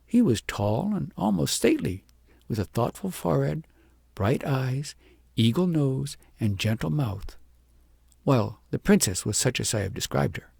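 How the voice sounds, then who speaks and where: A man reading a children's story aloud as a scripted, expressive audiobook narrator. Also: mid-range voice, normal speed, gravelly, slightly rough, no echo.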